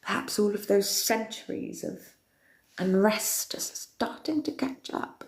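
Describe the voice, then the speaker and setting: A woman playing a centuries-old villainous queen of darkness, chatting with viewers in a slow, theatrical, often whispered delivery. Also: medium pitch. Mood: sinister, theatrical. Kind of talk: in-character chat with viewers. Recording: roomy echo.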